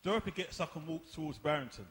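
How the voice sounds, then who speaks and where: A man's voice: fairly high pitch, quick pace, a bit bright, slight background noise. A man narrating a radio play, reading scripted scene directions to set up the action.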